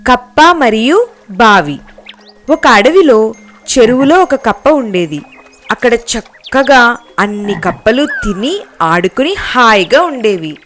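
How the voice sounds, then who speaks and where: A woman's voice: fairly high pitch, sing-song, slow pace, pauses often, a bit bright, a little hiss or hum. A woman narrator reading scripted children's moral stories.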